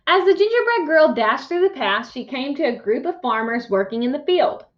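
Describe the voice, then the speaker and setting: A woman teacher reading a picture book aloud to young children, expressive and instructive, pausing to ask questions. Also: high voice. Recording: dull, muffled lo-fi sound.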